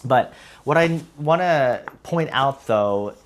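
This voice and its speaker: A man's voice: mid-range voice, expressive, slow. American man talking casually, explaining things. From United States. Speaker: vlogger, heritage language learner. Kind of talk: casual reflective talk to viewers.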